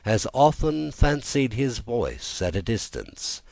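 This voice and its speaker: A man narrating a classic story as a soothing bedtime audiobook, in a gentle and measured manner. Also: medium pitch, wildly swooping pitch, gravelly, no echo.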